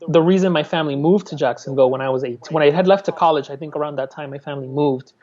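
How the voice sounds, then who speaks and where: Chatty man, slightly raised pitch. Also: very fast, a little mellow, highs cut off. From Miami, Florida. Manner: chatty, candid, restarts and laughs often. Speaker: artist, guest. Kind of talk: conversational interview.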